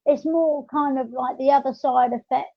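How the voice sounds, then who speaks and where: A woman's voice: sits high, fairly even intonation, moderate pace. A woman chatting casually as a podcast host, in a hesitant, conversational way. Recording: dull lo-fi audio.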